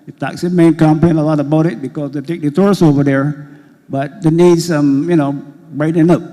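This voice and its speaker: A hoarse man, an audience member earnestly raising questions at a public meeting, rambling a little with many fillers. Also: fairly high pitch, fairly even intonation, fairly quick, dark tone. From Barbados. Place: school hall at a public meeting. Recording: long reverb of a large hall.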